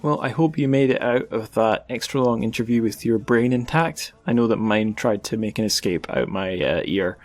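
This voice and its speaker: A man's voice: on the low side, normal speed, hardly any pauses. A man hosting a podcast, talking in an informal, wryly humorous way. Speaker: podcast host. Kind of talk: casual podcast outro and chat.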